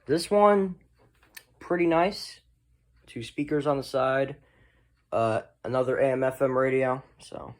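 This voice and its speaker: A man's voice: medium pitch, unhurried, long pauses. Casual rambling man showing off his collection. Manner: rambling, trailing off. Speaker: hobbyist collector presenting a video. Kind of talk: casual show-and-tell chat.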